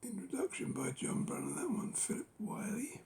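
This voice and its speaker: Gravelly hoarse man, half-whispering. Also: high-pitched, huge pitch swings, a little mellow. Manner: unhurried, musing, lots of ums and rights. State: losing his voice. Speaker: book collector. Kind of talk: casual collection walkthrough.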